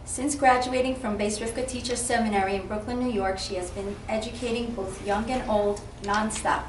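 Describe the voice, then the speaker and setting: A woman's voice: fairly high pitch, steady tone, normal speed. A woman emcee reading a formal introduction of a speaker at an event. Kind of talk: read introduction. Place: event hall. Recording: echoey hall from far off, some noise.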